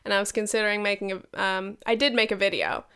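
A woman's voice: mid-range voice, fairly even intonation, fast talker, a bit bright, smooth. An American woman in her twenties with a nasal, congested voice from a head cold, chatting casually and slangily as a solo podcast host. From United States. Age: 20s. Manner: slangy, sweary, self-mocking. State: getting over a head cold.

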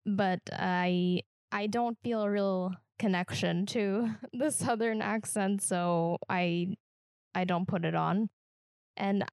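Gravelly Midwestern woman, dry close sound. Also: medium pitch, darkish tone, dry recording. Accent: Midwestern American. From Kansas, US Midwest. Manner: reflective, halting, restarts phrases often. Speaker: podcast co-host. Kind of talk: casual podcast conversation.

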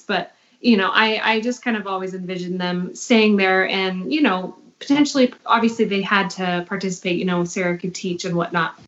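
A woman on a discussion panel casually sharing her opinion, haltingly, often saying "you know". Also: medium pitch. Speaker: podcast panelist. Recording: dull lo-fi audio.

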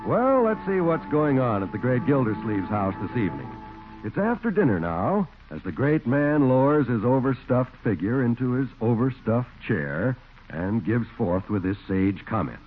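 A man's voice: medium pitch, very animated, normal speed. A man announcing in scripted 1940s radio style, reading introductions and ad copy. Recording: old tinny 1940s radio broadcast. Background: music.

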